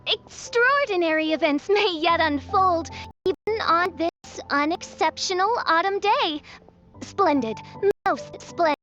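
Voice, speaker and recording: A woman's voice: extremely high pitch, animated, a little slow, sharp tone, full character voice. A woman voicing a haughty, theatrical teenage princess in a video game, with grandiose, pompous delivery. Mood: grandly pleased. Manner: grandiose, pompous, archaic phrasing. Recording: slightly dull audio over music.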